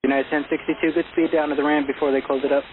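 A man works as a ground controller, rattling off clipped instructions at a brisk pace. His voice comes through a narrow, noisy aviation radio channel with clicks. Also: fairly high pitch, flat delivery. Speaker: air traffic ground controller.

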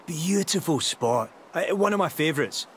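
A man's voice: on the high side, expressive, moderate pace, fairly bright tone, slight background noise. A British man playing a friendly, laid-back local guide in a video game, narrating casually with a wry, conversational manner. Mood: relaxed, wry. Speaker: in-game tour guide character.

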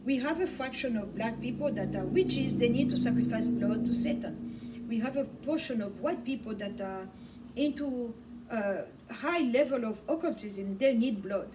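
A woman's voice: a little high, expressive, normal speed. A middle-aged woman whose first language is Swahili, preaching to camera in a small home study. She repeats words for emphasis. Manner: impassioned, repeats words for emphasis, gestures a lot. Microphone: camera mic from across the room. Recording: distant mic with faint room noise.

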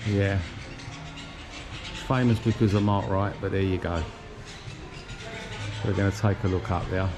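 A man giving a relaxed, chatty walking tour of an outdoor shopping plaza, talking while he walks with his camera. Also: deep voice, a little slow, music in the background. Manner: relaxed, chatty, pointing things out. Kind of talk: casual walking tour narration. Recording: noisy outdoor street recording.

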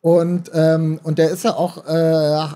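A man in his thirties talks casually into a handheld mic as a guest on stage. His tone is mellow and relaxed, pitched a bit high. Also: takes it slowly. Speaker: author, interview guest. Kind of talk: casual live stage interview. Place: stage at a book fair. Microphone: handheld microphone.

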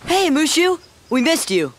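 A man voicing a friendly, upbeat teenage boy hero in an animated game, casual and earnest. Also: very high-pitched, a little slow, full character voice. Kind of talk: animated video game character acting.

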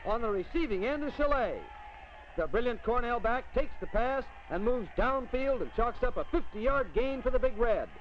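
An American man giving animated play-by-play commentary for a 1950s newsreel. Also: very high voice, normal speed. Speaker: sports commentator. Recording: dull, noisy vintage film soundtrack.

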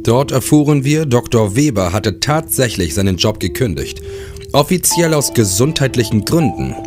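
A man's voice: medium pitch, big pitch swings, normal speed, music in the background, close to an everyday voice. An actor playing a sober police detective who narrates his case in a clipped, matter-of-fact way for a German crime radio play. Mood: matter-of-fact, suspenseful.

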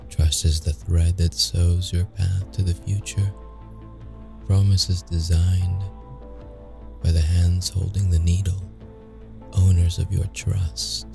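A man's voice: deep voice, creaky, full character voice. A man playing a young wounded vampire, secretive and brooding, in an intimate, hesitant audio roleplay style. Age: young adult. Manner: halting, hesitant, stammering when flustered.

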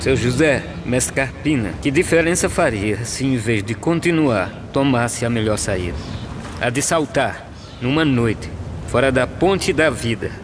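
A Brazilian actor playing a gaunt, despairing drought migrant in an animated verse drama, solemnly declaiming poetic questions. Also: male voice, mid-range voice, a little slow, natural voice. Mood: bitter, desperate. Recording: outdoor ambience noise behind the voice.

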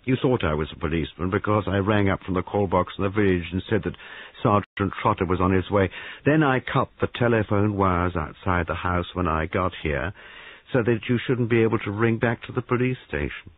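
A man voicing a brisk, self-satisfied young man posing as a policeman in an audiobook. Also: no echo. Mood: menacing. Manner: brisk, confident, lifting pitch. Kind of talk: audiobook character voice. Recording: narrow phone-like sound, dry.

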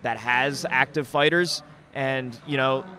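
A man's voice: mid-range voice, fairly even intonation, measured pace, pushed and bright. A young man co-hosting a fight podcast, chatting and telling stories in an informal, slangy way. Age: young adult. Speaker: podcast co-host, MMA fighter and coach. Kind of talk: casual fight recap and storytelling. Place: indoor event venue, at a table. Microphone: podcast microphone on boom arm. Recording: busy crowd behind the voice.

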